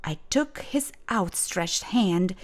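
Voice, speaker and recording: Female storyteller for children's read-aloud. Expressive and deliberate, taking her time, with lively swings in pitch. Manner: deliberate, dramatic phrasing for children. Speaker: children's storybook reader, host.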